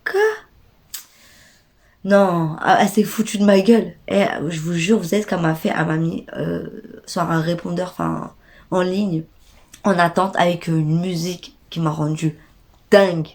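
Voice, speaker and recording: A woman's voice: lowish voice, steady tone, moderate pace, long pauses, a little mellow. Congested young woman ranting in slang. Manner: indignant, slangy, talks straight to viewers. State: stuffy nose from a cold. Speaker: vlogger. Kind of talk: casual storytime rant.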